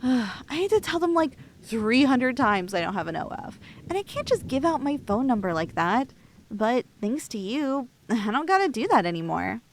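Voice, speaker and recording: A young woman playing a shy, lovestruck cosplayer in an intimate ASMR roleplay, with girlish slangy speech and a halting, bashful manner, close on the mic. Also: on the high side, big pitch swings, a little hiss or hum. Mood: bubbly, flattered. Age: young adult. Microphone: close, dry studio-style microphone.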